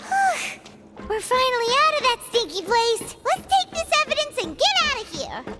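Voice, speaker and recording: A woman voicing a small, excitable, loyal fairy-like companion in a video game, with an expressive, exclamatory delivery. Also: very high-pitched, normal speed. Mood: relieved, excited. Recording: loud music behind the voice.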